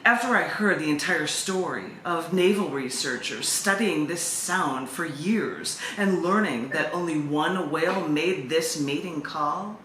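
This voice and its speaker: Woman doing a poetry open mic, bright clear-cut voice, roomy echo, bit of background noise. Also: low voice. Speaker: open mic host and poet. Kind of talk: poetry reading with chatty introductions. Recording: echoey room, faint background noise.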